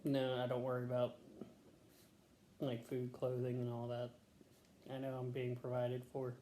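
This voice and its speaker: A middle-aged woman with an extremely deep, mellow voice answers interview questions casually and briefly, with dry humor. She sounds recorded in an echoey room with some faint noise. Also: monotone, moderate pace. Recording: roomy echo, faint background noise.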